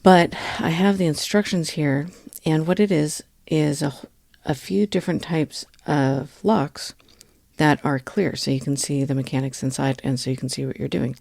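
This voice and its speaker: A woman's voice: low voice, fairly even intonation, moderate pace, vocal fry, a little mellow, no echo, studio quality. A woman hosting a podcast, chatting casually with wry, self-deprecating asides. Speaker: podcast host. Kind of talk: casual chat introduction.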